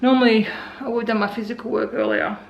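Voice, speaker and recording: An Australian woman in her forties with a croaky voice, talking casually and wearily through her day at home in a vlog. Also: a little high, fairly even intonation, quick pace, lo-fi sound, slight background noise. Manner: tired, rambling, self-talking. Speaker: vlogger. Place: indoors at home.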